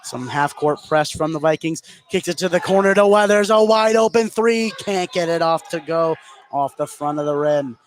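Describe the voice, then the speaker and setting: A high-voiced male sports commentator calls a basketball game as it happens. He is excitable and leans hard into the big moments. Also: normal speed, slight background noise. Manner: excitable, emphatic on big plays. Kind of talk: live basketball play-by-play commentary.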